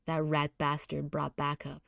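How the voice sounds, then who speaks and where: A brooding young man, acted out by a woman, low-pitched and close in a dry recording. He is battered and guilt-ridden, talking bluntly and wearily like a gruff love interest in a dating game. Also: monotone, a little slow, fairly bright tone, no room sound, heavily acted. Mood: guilty, blunt.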